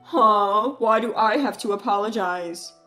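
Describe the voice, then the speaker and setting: A woman voicing a rude, mocking adult brother who talks loudly and scornfully, with faint music behind. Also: medium pitch, fairly even intonation, measured pace, natural voice. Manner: sneering, taunting.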